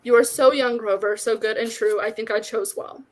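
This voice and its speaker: A woman voicing an ancient, fading male god of the wild from a storybook, gentle and forlorn, in a solemn, tender manner. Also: a little high, steady tone, normal speed, lightly acted, poor audio.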